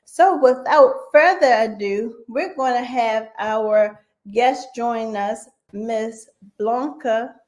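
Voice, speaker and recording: Slow-paced woman hosting a radio interview. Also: a little high. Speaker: radio show host.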